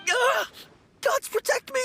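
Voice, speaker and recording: A woman's voice: very high voice, fairly even intonation, takes it slowly, vocal fry, heavy background noise, heavily acted. A woman voicing a timid, fearful young male apprentice undertaker in a video game, pleading and polite. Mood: panicked, pleading.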